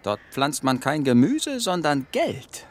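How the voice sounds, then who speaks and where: Sly disguised animal villain, children's radio drama: husky, very animated, falsely friendly and scheming. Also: male voice, medium pitch, takes it slowly, exaggerated voice. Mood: scheming, luring. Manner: wheedling, mock-friendly, hesitating for effect. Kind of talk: children's radio play acting.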